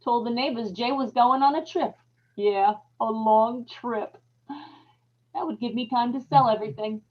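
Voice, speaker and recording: A scheming wife with murder on her conscience , played by a woman, speaks in a fairly high, dramatic voice. She is folksy and emphatic, cold one line and frantic another, in old time radio horror style. The recording is somewhat dull and lo-fi. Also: normal speed, natural voice. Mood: gloating, bitter. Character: scheming, guilt-ridden murderous wife, cold, then panicked. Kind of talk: old time radio horror acting, confessional narration and dialogue.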